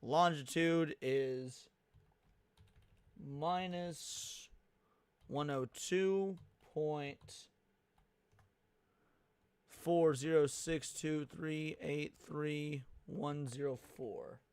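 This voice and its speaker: High-pitched young American man, livestreamer. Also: drawn-out words, long pauses, smooth, dry recording. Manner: slangy, profane, excitable. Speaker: livestream host reacting to a video. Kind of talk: casual livestream commentary.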